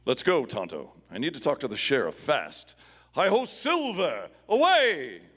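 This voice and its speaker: An actor playing a steadfast, commanding masked Western hero in a live radio play, with formal, resolute phrasing. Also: male voice, medium pitch, wildly swooping pitch, a little slow, big-room sound, very noisy. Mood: urgent.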